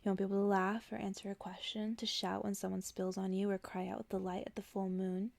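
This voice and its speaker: A woman voicing a weary, blunt old witch in a soft ASMR storybook reading, dry and chiding in manner. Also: mid-range voice, level tone, fast, creaky, dry recording, natural voice. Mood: grave.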